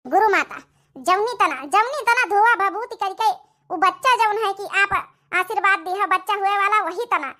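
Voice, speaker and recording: A woman's voice: extremely high pitch, sharp tone. A woman voicing a sneaky young village sister-in-law with an Awadhi accent, wheedling and chattering in a comedy cartoon.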